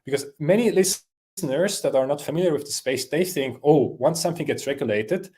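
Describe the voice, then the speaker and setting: A man from Switzerland answering interview questions, pausing with many fillers and restarts. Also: a little high, dark tone, breathy edge. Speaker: interview guest.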